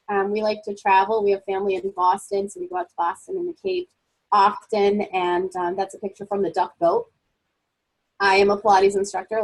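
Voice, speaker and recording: Husky-voiced woman giving an upbeat webinar. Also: medium pitch, poor audio. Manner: upbeat, encouraging, motivational. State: getting over a cold, a bit froggy. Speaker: webinar presenter and life coach. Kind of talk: webinar presentation.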